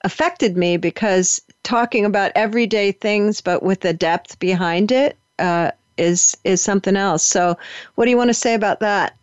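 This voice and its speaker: A man's voice: sits high, normal speed, clear, resonant tone, no room sound, lo-fi sound. A man hosting a radio interview, talking in a conversational way with fillers. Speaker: radio host.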